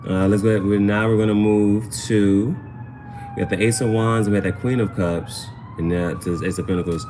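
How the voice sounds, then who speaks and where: A man's voice: lowish voice, fairly even intonation, a little fast, darkish tone, slight background noise. A man hosting a casual live tarot reading, chatting to viewers in slangy speech and often repeating his phrases. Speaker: tarot reader, livestream host.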